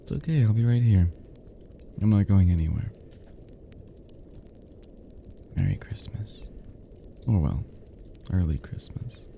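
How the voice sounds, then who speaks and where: A man acts out a flirty, devoted best friend in a cozy ASMR roleplay. His low voice is very dark and warm, murmured softly with gentle teasing and affection. A gravelly fry runs through it. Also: animated, normal speed, a little hiss or hum. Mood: soothing, sleepy. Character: tender, flirty male best friend lulling listener to sleep.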